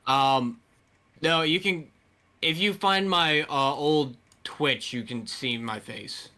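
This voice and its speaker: A young man in his twenties speaking in an easygoing, joking manner as a podcast co-host. His voice comes across bright and fairly forceful. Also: on the high side, takes it slowly. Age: 20s. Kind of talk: casual chat.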